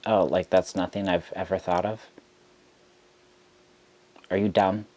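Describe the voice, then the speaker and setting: A man who reads tarot, speaking straight to camera in a frustrated rant, deliberate and halting with frequent ums. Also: low voice, steady tone, a little mellow. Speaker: tarot reader.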